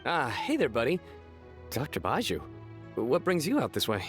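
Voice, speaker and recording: A weary city guard from an anime game. The character is plain-spoken, concerned and friendly, with an animated pitch range over clear background music. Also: male voice, normal speed. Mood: friendly relief.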